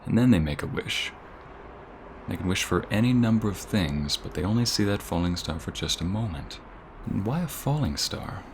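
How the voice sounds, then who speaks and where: A man's voice: low-pitched, lively intonation, moderate pace, a little hiss or hum. A man playing a devoted, sentimental boyfriend in a romantic ASMR roleplay, speaking intimately and earnestly. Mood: soft, sincere. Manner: intimate, earnest, often hushed and breathy.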